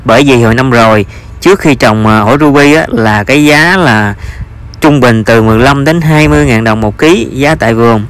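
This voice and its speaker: A man's voice: mid-range voice, a little fast, a bit bright, clear, resonant tone. A man with a Southern Vietnamese accent narrates an informal vlog as a farmer, with hesitant, repetitive phrasing.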